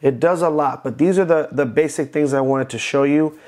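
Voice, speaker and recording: A man's voice: a little high, normal speed, a little mellow. A man hosting a step-by-step tech walkthrough for beginners, explaining simply and reassuringly. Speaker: tech tutorial host.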